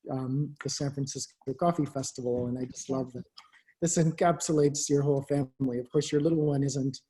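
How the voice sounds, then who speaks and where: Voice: mid-range voice, steady tone, a little fast, warm tone, rough recording. A webinar host on a video call who asks questions in a casual way. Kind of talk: webinar interview.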